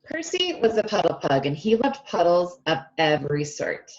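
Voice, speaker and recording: Lively low-voiced woman reading to children. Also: normal speed, lo-fi sound. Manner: expressive, stretches key words, addresses children directly. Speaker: speech-language pathologist reading to children. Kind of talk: animated children's read-aloud with questions to listeners.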